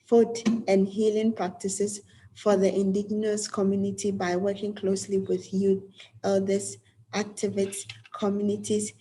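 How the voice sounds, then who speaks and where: A woman hosts an online panel, reading a speaker's bio in a formal way. Her voice is mellow and flat in tone, with faint background hiss. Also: mid-range voice, poor audio. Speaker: moderator. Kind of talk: introducing speakers. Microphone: video call.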